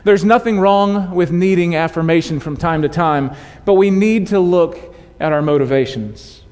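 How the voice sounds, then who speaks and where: A man's voice: high-pitched, moderate pace, darkish tone. A man preaching a sermon to his congregation in a conversational way with light humor. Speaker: pastor. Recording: long hall reverb.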